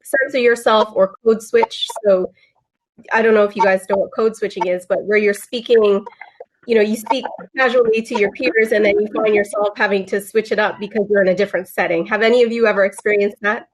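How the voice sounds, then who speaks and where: Woman moderator, rapid delivery, casual questions, ordinary pitch over a video call. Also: steady tone, poor audio. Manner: brisk, conversational questions. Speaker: panel moderator. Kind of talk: moderating a panel.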